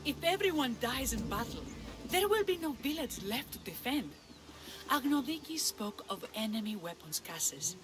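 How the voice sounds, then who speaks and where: A woman's voice: on the high side, sing-song, moderate pace. A woman playing an earnest, cautious leader of an ancient Greek village in a video game cutscene. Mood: insistent. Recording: loud music behind the voice.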